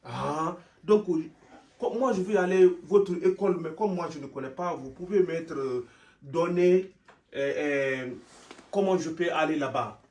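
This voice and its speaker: An actor playing a boastful man newly arrived from abroad who wants to be a teacher, speaking halting broken French with many stammers. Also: a little high, a little mellow. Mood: earnest. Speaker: sketch comedy actor. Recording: roomy sound, from some distance.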